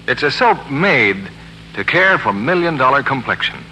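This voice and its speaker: Very bright 1940s radio announcer man. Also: mid-range voice, very animated, moderate pace. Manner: polished, salesmanlike. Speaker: commercial announcer. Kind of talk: scripted 1940s radio advertising pitch. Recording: noisy, humming 1940s radio broadcast.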